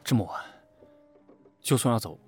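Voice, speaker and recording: A man playing a quiet, conflicted young man in an audio drama, subdued and hesitant. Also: normal speed, faint music behind, close to an everyday voice. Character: quiet, conflicted young man, reluctant to part from his housemate.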